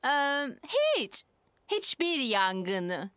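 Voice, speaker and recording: A woman voicing a busy, earnest lady rabbit firefighter in a children's cartoon dub, dutiful and excitable. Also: on the high side, drawn-out words, smooth, no room sound, studio quality, full character voice.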